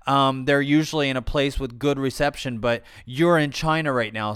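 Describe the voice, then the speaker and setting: A man hosting a podcast, asking questions in an enthusiastic, halting way. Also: medium pitch, fairly bright tone, clean tone, no room sound, studio quality. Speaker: podcast host. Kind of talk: interviewing a guest.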